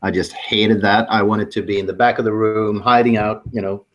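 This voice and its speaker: Swedish-accented man, low voice, relaxed guest chat, hesitant phrasing. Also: normal speed, dull audio. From Sweden. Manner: searches for words, hesitant. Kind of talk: casual chat.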